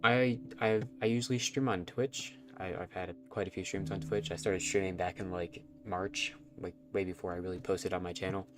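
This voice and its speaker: A man's voice: sits low, steady tone, normal speed, hardly any pauses, over music. A man with a nasal, stuffy voice, rambling and self-conscious as he chats through a live game stream. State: stuffy nose from allergies.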